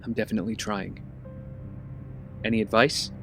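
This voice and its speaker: A man's voice: lowish voice, level tone, normal speed, vocal fry, a bit bright, soft background music. A man playing an earnest young vigilante in an audio drama, casual with a wry edge. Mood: sincere, subdued.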